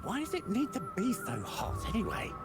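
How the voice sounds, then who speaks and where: An actor voicing a chatty male vendor in uniform who gossips and complains about the heat, under loud music. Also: very high voice, wildly swooping pitch, a little fast, full character voice.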